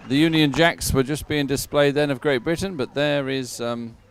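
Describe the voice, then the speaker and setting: A man's voice: medium pitch, steady tone, moderate pace, smooth. A man giving conversational live commentary on a sporting event as a co-commentator.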